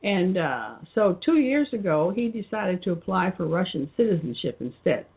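A woman hosting her own show, talking through an unscripted commentary in a halting way with many fillers and restarts. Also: fairly low pitch, very animated, breathy edge. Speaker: show host. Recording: muffled phone-line audio.

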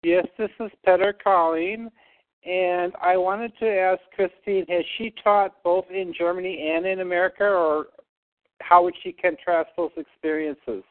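A man calls in to a radio program and presses the guest with questions. His voice is notably high for a man. It comes over a thin, tinny phone line. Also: fairly even intonation, measured pace. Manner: probing questions. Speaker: caller.

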